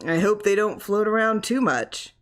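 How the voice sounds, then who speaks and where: A woman's voice: medium pitch, moderate pace, lightly acted. A woman voicing an anxious, brooding husband in a horror story, fretful and full of questions. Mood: worried. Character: anxious, brooding husband obsessed with his skeleton.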